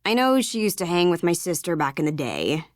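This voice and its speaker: A woman voicing a guarded, sarcastic young American misfit in scripted video game dialogue, with a casual, sullen, defensive deadpan. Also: lowish voice, fairly quick, no room sound, clean studio sound. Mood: wary.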